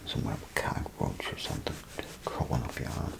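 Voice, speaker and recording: A man doing a soft-spoken ASMR role play as a bathroom attendant, rambling casually and unhurriedly to the listener in a breathy, gravelly half-whisper. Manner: unhurried, casual, folksy muttering with pauses.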